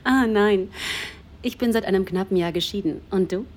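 A woman's voice: medium pitch, normal speed, a little mellow, close to an everyday voice. An actress playing a self-assured, flirtatious woman writer in an intimate audio drama, with a playful, inviting manner. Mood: open, self-assured.

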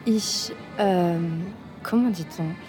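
An actress playing a young French woman painter in an intimate audio drama, with a French accent and halting German mixed with French words. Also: lowish voice, music in the background. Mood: relaxed, inviting.

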